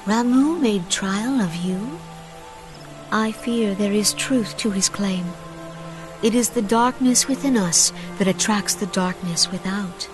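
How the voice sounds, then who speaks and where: A woman's voice: medium pitch, slow, natural voice. A woman voicing a gracious, earnest leader of a forest nation in a fantasy video game, speaking with solemn, archaic formality. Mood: gentle concern. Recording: loud music under voice.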